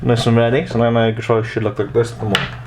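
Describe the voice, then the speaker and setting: A man's voice: medium pitch, little pitch movement, rapid-fire. A man in his twenties giving a casual step-by-step tech tutorial, rambling and often repeating his phrases. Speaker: host of a tech tutorial channel. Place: small room at home, indoors. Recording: noticeable background noise.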